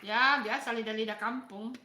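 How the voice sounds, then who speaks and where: A woman's voice: a little high, fairly even intonation, normal speed, sharp tone, roomy sound. A middle-aged woman with a Makassar Indonesian accent, chatting casually with live viewers while she eats, asking them questions and answering their comments. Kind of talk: casual live-stream chat while eating.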